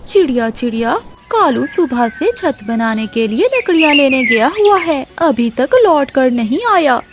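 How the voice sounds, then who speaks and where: Woman as a shrill-pitched nagging cartoon crow wife. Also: animated, a little slow, over music. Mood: worried. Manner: scolding, questioning. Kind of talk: Hindi cartoon story dialogue.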